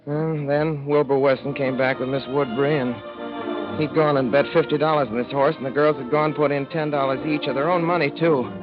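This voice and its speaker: A man's voice: a little high, steady tone, fairly quick, lightly acted. Casting: a man as a slangy, lovesick young stable hand narrating, old muffled radio sound with faint music. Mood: wistful. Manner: folksy slangy storytelling, wistful. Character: young male racetrack swipe, earnest and lovesick. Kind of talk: 1930s radio drama narration.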